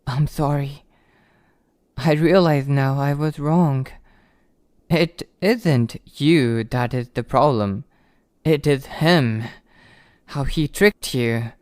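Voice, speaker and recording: An obsessive young yandere played by a man speaks slowly and deliberately, in a smooth voice recorded dry and close. He is possessive and lovesick, pleading with a tender menace in an intimate ASMR roleplay. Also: medium pitch, darkish tone, no room sound, close to an everyday voice. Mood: bitter, regretful.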